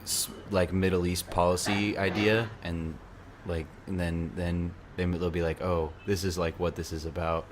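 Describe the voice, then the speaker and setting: A very deep-voiced young man hosts a podcast from his apartment beside a busy night market, his voice going hoarse. He rambles loosely, circling back and restarting sentences. A faint hiss sits under the recording. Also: flat delivery, normal speed. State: losing his voice. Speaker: podcast host. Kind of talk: casual chat.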